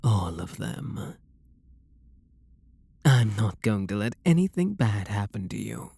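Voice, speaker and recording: A man with a British accent playing a refined, possessive and seductive vampire lord in an intimate ASMR roleplay, speaking softly and coaxingly with a breathy, husky voice. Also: fairly low pitch, very animated, no room sound, full character voice. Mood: soothing, tender. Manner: soft, coaxing, flirtatious, unhurried.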